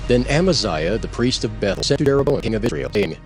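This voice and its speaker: A man's voice: mid-range voice, expressive, fast, faint music behind. A man narrating a dramatized scripture story as a scripted announcer and storyteller.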